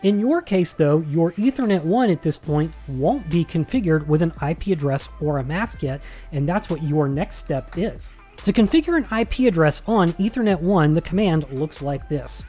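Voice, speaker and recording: A man's voice: on the high side, expressive, moderate pace, warm tone, faint music behind. A man in his thirties with an American accent, explaining a tutorial in a conversational, instructive and emphatic way into a close studio mic. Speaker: instructor and video host. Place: small home studio room. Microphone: close studio mic on boom arm.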